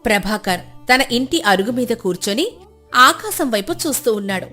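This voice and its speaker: High-voiced woman narrating a story. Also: expressive, normal speed, sharp tone, soft background music.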